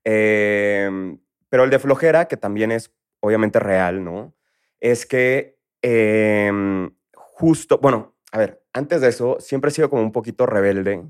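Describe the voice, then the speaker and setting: Relaxed man with a Mexico City accent. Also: fairly low pitch, takes it slowly, smooth. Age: 30s. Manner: relaxed, rambling, many fillers. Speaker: guest entrepreneur. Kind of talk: casual interview chat.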